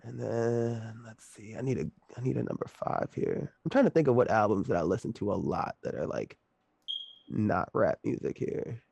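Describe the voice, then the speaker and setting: A man's voice: fairly low pitch, animated, moderate pace, creaky, darkish tone, breathy edge. A man in his late twenties, chatting loosely as a podcast guest, laughing often and swearing casually. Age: late 20s.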